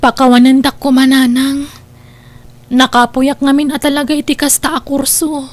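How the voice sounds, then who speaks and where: A woman's voice: high voice, little pitch movement, normal speed, natural voice. A woman with an Ilocano Filipino accent playing a dutiful, pleading young graduate daughter in a family audio drama.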